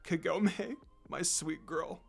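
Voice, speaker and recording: A tender middle-aged father, played by a man reading aloud. He sounds soft and remorseful. His words come gently and heavily. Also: high-pitched, slow, vocal fry, breathy edge, faint music behind, full character voice.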